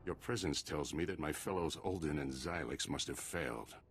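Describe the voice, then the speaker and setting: An actor playing a grave, proud older Jedi Master in a video game, solemn, measured and raspy. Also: male voice, very deep voice, slight background noise. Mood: bitter disdain.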